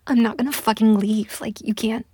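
A woman's voice: fairly high pitch, fairly even intonation, normal speed, dark tone, dry recording. A young woman voicing a pushy, entitled woman on a date in a one-sided audio roleplay, talking in a close, whispery way with lots of swearing. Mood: angry. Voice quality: husky, breathy, gravelly. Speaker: voice actor.

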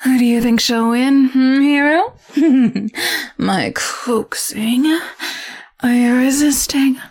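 Brief: a woman voicing a knife-wielding seductress, slightly high, very slow and breathy, mocking and menacing. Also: darkish tone, heavily acted. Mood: teasing. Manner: slow, teasing, mocking and menacing, often whispered. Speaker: audio roleplay performer. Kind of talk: intimate audio roleplay acting.

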